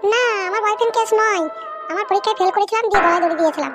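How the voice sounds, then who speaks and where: Cheeky little ghost girl voiced by a woman, really high voice, rattles on complaining, cartoon style. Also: very fast, over loud music. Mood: plaintive. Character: chatty young female ghost, plaintive and cheeky. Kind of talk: comic cartoon dialogue.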